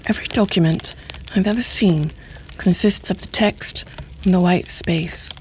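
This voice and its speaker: An actress playing a sly, seductive middle-aged intelligence handler, teasing and insinuating in formal, deliberate diction. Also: female voice, lowish voice, darkish tone, slight background noise, natural voice, low-quality recording. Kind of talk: audio drama acting.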